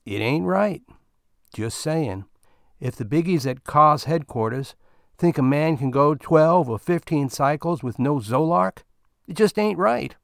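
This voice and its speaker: A man's voice: a little high, animated, measured pace, creaky, dry recording, studio quality, voice pushed up. A man doing a crude, boastful old miner. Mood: griping. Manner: folksy, ungrammatical drawl, leering. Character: crude talkative middle-aged male space miner.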